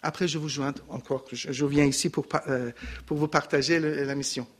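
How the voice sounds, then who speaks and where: Middle-aged Iranian man, Persian accent, halting testimony, fairly high pitch, handheld mic. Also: steady tone. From Iran. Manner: halting, searching for words, many restarts. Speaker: missionary giving testimony. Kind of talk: personal testimony to a congregation. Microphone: handheld microphone.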